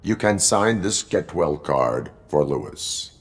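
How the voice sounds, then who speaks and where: A man voicing a stoic cyborg police officer in a video game, with stiff, formal, deadpan delivery. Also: low voice, takes it slowly. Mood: flat, dutiful.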